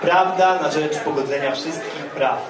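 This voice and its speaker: A man reads a satirical piece like a mock lecture, deadpan and serious. His voice is fairly high, heard in an echoey venue with an audience around. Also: measured pace, crowd noise. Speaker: poet reading own work at a festival. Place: dark stage at a live poetry event, indoors. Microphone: microphone on a stand, heard through room. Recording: echoey live stage sound, distant, audience around.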